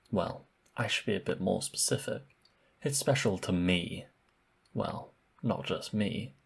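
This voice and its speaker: A man acts out an obsessive vampire in an intimate ASMR roleplay. His voice sits low, with a raspy, breathy grain and heavy creak. He speaks with deliberate, insinuating politeness, and menace and possessiveness lie under the courtesy. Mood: possessive, intent. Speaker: voice actor in audio roleplay.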